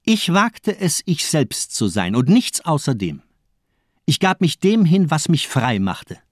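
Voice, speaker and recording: An actor playing a fanatical, cold-blooded doctor and torturer in a crime radio play, declaiming in a grandiose, rhetorical way. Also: male voice, fairly high pitch, big pitch swings, unhurried, no echo, clean studio sound. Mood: impassioned, cruel. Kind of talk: dramatic radio play monologue.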